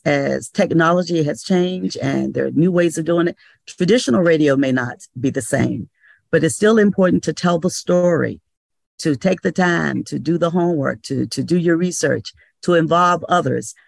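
An older woman from North Carolina, a radio station owner, speaks with a gravelly, slightly rough edge. Her words come slow and considered, broken by frequent pauses. The sound is close and dry, with no room around it. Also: deep voice, dry recording. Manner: measured, advising, many uh pauses. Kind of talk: panel discussion. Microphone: video call.

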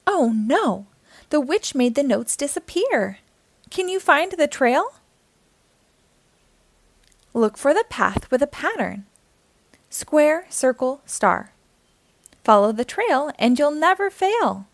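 A woman hosting a children's storytime, reading aloud in an animated, encouraging way and speaking directly to young listeners. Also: high-pitched, takes it slowly, long pauses, no echo.

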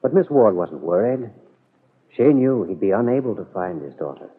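Low husky male old-time radio narrator. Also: sing-song, normal speed. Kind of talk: dramatic scripted 1940s radio narration. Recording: muffled old radio broadcast.

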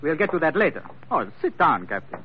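An actor playing a suave, courteous villain with formal, hesitant politeness in an old radio drama. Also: male voice, on the high side, very animated, a little fast. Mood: suavely courteous. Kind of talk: 1940s radio acting. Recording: tinny, noisy old radio recording.

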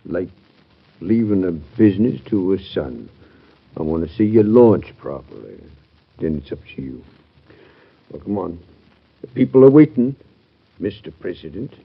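An actor playing a solemn, fatherly outgoing president, measured and oratorical, in vintage radio drama style. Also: deep voice, animated, vocal fry, breathy edge, exaggerated voice. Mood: fatherly, encouraging. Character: outgoing president, grave fatherly elder statesman. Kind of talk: 1950s radio drama acting. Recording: muffled vintage radio recording.

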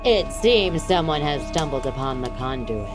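An actress playing an imperious, easily exasperated villainous empress in a comedic fantasy audio drama, haughty, theatrical and mercurial. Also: female voice, low voice, animated, normal speed, loud music behind the voice. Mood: scheming.

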